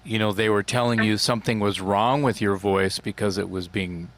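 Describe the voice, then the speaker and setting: Podcast host guy, kinda low voice, lots of false starts, laid back. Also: steady tone, a bit bright. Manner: halting, restarts his sentences. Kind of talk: casual interview chat.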